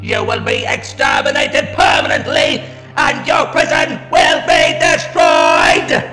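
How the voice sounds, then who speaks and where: Shrieking, imperious robotic alien war machine. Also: male voice, very high-pitched, slow pace, raised voice, over loud music, a loud crowd. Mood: frantic rage. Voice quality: harsh, electronic, staccato. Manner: barking commands in clipped, repeated phrases. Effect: robotic ring-modulated filter. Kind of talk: sci-fi audio drama acting. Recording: echoing with long reverb.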